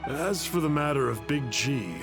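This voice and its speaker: Man acting reflective calm friend, formal phrasing, middle pitch, game dialogue, music clearly behind. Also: normal speed, lightly acted.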